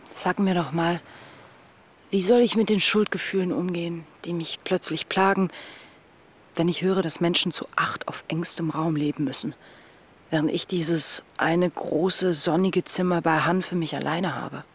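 A man's voice: on the high side, fairly even intonation, measured pace, fairly bright tone, husky, full character voice. An actor playing a troubled, self-questioning intellectual in a radio play, earnest and articulate as he confides.

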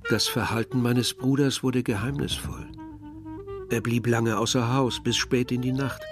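A man's voice: medium pitch, fairly even intonation, unhurried, lightly acted. An actor playing a reflective village man recounting wartime memories in literary radio drama narration. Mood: sorrowful, reflective. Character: reflective male villager recalling war and his brother. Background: music.

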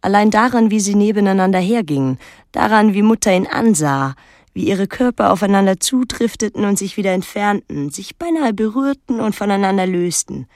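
An actress playing a troubled, watchful daughter who narrates an island mystery in a measured, literary way. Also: female voice, mid-range voice, expressive, a little mellow, dry recording, clean studio sound, lightly acted. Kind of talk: literary radio drama narration and dialogue.

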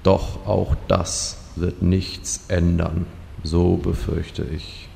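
Very gravelly, deep, deadpan servant. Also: male voice, extremely slow, full character voice. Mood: dry, solemn. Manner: formal, deadpan, solemn courtly phrasing. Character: dour, deferential male servant of a fire lord. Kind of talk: comedic fantasy audio drama parody. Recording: long hall reverb, faint noise.

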